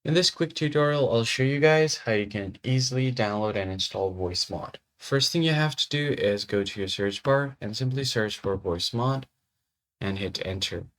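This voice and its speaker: A man presenting a software tutorial, giving casual step-by-step instructions. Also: mid-range voice, moderate pace. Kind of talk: step-by-step screen tutorial narration.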